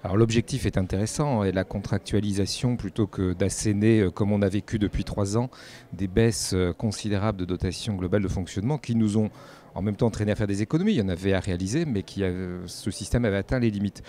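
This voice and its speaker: A man giving measured, explanatory spontaneous answers as a local politician in an interview. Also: lowish voice, mellow. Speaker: mayor and head of a local authority, interviewee.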